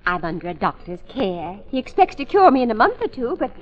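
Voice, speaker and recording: A flustered, well-to-do wife in vintage radio style. Her voice is high and very animated, with a hesitant, melodramatic flutter. The sound is dull, like an old broadcast. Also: brisk. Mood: pleading. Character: flustered, genteel wealthy politician's wife, embarrassed and pleading. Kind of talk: 1940s radio acting. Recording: dull lo-fi 1940s radio recording.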